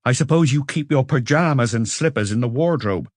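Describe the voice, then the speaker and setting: A man's voice: medium pitch, expressive, a little fast, no room sound, clean studio sound, voice pushed up, natural voice. A man voicing a severe, withdrawn widowed father who mutters with disgust in an audiobook. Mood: accusing. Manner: disgusted, threatening and ashamed muttering.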